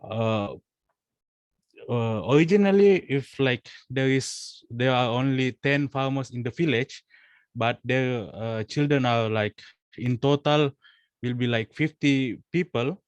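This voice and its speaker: A young man from Indonesia speaks as a student presenter in an online class. He talks in a halting way, restarting phrases and filling pauses. His voice sits at a middling pitch, recorded dry and close. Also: clear, resonant tone, no room sound. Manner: hesitant, many fillers and restarts.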